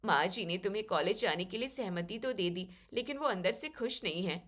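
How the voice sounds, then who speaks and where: A kindly elder sister-in-law in a storybook family drama, played by a woman. She has a mid-pitched, familiar voice and talks with caring concern. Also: lively intonation, brisk, fairly bright tone.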